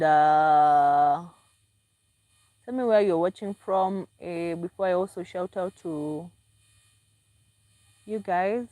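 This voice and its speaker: A woman's voice: mid-range voice, a little mellow, no echo. A Kenyan woman hosting a casual livestream, chatty and repetitive as she greets viewers by name and promotes her books. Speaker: livestream host and author.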